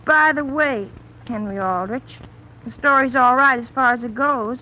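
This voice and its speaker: A high, bright teenage girl, forthright and suspicious, pressing her questions in 1930s radio comedy style. The recording is an old, muffled broadcast. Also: moderate pace. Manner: questioning, insistent.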